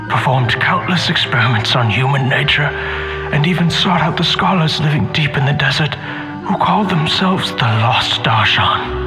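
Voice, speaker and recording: An actor playing a grave, despairing male scholar in a game, speaking in a formal, measured, grandiloquent way. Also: on the high side, close to an everyday voice. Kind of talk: dramatic game voice acting. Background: faint background music.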